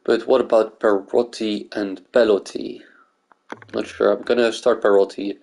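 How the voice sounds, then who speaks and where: A man from Greece talks casually through his video game, thinking aloud as he goes. His voice sits fairly low with a lot of creak. There is slight noise behind him. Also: flat delivery, normal speed, a little mellow, rough recording. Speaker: gaming video maker.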